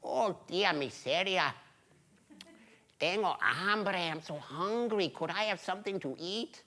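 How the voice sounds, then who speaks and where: A man's voice: sits high, animated, a little slow, pushed and bright, voice pushed up, exaggerated voice. A man voicing a kindly, hungry old man who is a magician, solemn and pleading, in English and Spanish.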